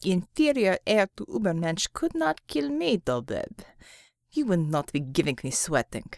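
A woman's voice: lowish voice, big pitch swings, measured pace, creaky, darkish tone, no room sound, studio quality, full character voice. A woman voicing a fierce young Russian superheroine in an audiobook, blunt and scornful, with a Russian accent and broken English. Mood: casual scorn.

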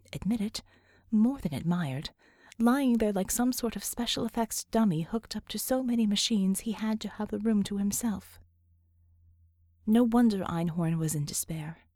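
High-voiced woman narrating fiction. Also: a little mellow, dry recording, clean studio sound. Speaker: audiobook narrator. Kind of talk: expressive scripted fiction narration.

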